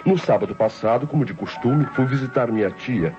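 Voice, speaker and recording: An actor playing an idle, self-justifying nephew who narrates his confession formally and deliberately, with a Brazilian accent, in an old radio drama. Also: mid-range voice, expressive, a little mellow, close to an everyday voice. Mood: cold, self-justifying. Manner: formal, deliberate, theatrical diction. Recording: dull old radio recording with music.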